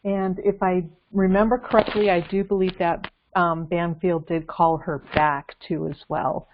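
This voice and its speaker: A woman's voice: on the low side, little pitch movement, unhurried. A woman talking casually as a guest researcher in an investigative discussion, thinking aloud hesitantly. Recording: dull lo-fi call with faint noise, clicks.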